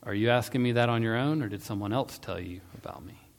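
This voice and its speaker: A man voicing a calm, solemn male holy teacher on trial, speaking in a measured, quietly assured way. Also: on the low side, close to an everyday voice. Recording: long hall-like reverb.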